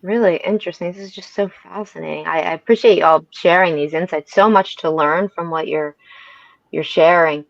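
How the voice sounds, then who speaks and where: Woman podcast host, lowish voice, chatty and agreeing, slightly muffled audio. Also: moderate pace, vocal fry, no room sound. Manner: affirming, frequent murmured agreement. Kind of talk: conversational interviewing.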